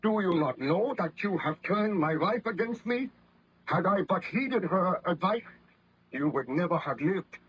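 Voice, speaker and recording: An actor playing a haunted, fearful baron scientist in formal, melodramatic 1930s radio drama style. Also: male voice, high voice, expressive, measured pace, fairly bright tone, slightly rough, heavily acted. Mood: anguished, pleading. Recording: muffled vintage radio recording.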